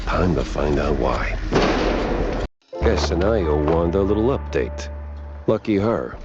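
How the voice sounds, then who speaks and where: An actor playing a world-weary, wisecracking mouse private detective in a noir game, gruff and gravelly, muttering sardonic asides in street slang. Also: male voice, rumbling low, full character voice. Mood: exasperated. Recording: muffled band-limited game audio under loud music.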